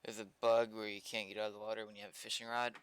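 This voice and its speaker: A man's voice: lowish voice, flat delivery, very fast, a bit bright, no echo. A man chatting informally on a live coding stream, thinking aloud as he works as a game developer. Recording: clear noise, keyboard clicks. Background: computer keyboard typing and clicking.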